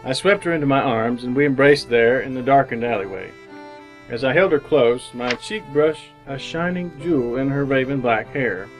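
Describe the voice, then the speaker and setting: A man's voice: mid-range voice, expressive, moderate pace, faint music behind, close to an everyday voice, poor audio. An actor playing an earnest, jaded young ad man who narrates his misadventures in old-time radio drama style. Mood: wry, brooding.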